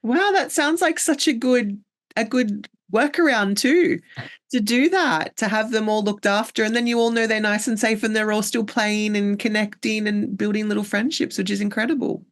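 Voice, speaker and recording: A woman's voice: on the high side, moderate pace. A woman with an Australian accent hosting a podcast interview, speaking in an enthusiastic, effusive way. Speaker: podcast host. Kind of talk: casual interview chat.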